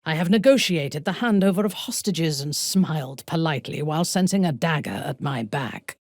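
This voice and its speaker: A woman playing a haughty, menacing dark elf warrior commander in a video game, with a husky voice and a cold, imperious, deliberate delivery. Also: deep voice, animated, no echo, studio quality. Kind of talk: dramatic video game voice acting.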